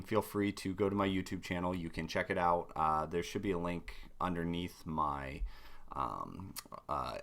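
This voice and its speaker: A man with a hoarse voice from being sick, hosting a casual live-stream game development tutorial and rambling with many ums and restarts. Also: low-pitched, fairly bright tone. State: hoarse from illness.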